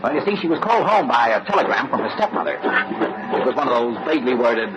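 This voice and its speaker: A man's voice: medium pitch, very animated, fast talker, lightly acted. Polite young fiance guy male radio actor, kinda flustered, voice swings around, old crackly muffled radio play. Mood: concerned, chatty. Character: earnest polite young fiancé off to meet future father-in-law. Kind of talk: old-time radio drama acting.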